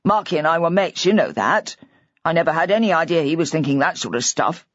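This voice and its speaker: A despairing older husband who rambles in a near-hysterical rush, as a woman narrator puts him on. The voice stays close to her own husky tone, on a dull, dry recording. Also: deep voice, lively intonation, fairly quick, dry recording. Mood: defeated.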